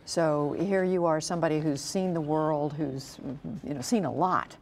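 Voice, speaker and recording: An older woman in her seventies, a news correspondent asking measured, probing interview questions in a farm shed. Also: low-pitched, darkish tone, a little hiss or hum.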